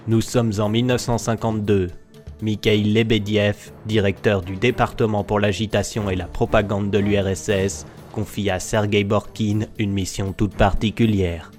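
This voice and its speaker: A man narrating a scripted mock documentary in a mock-serious, deliberate manner. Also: fairly low pitch, little pitch movement, darkish tone, faint music behind.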